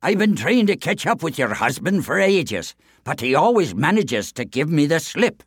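A man voicing a huge blustering giant bully in a storybook tale, booming and growling, stretching out his words. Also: sing-song, moderate pace, husky, dry recording, studio quality.

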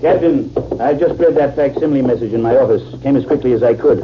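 An actor playing a blustering, trusting army general in a 1950s radio drama, with a husky voice. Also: male voice, mid-range voice, fast, a little hiss or hum, lightly acted. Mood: alarmed, demanding. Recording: tinny, band-limited 1950s radio recording.

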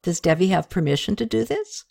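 A woman as a calm, gently amused young woman. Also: on the low side, brisk, gravelly, full character voice. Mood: patient.

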